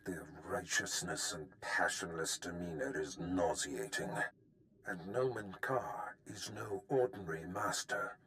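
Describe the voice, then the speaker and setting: A man's voice: sits low, measured pace, creaky, pushed and bright, exaggerated voice. A man playing a cruel, scheming Sith master in a video game, speaking in a condescending, theatrical manner.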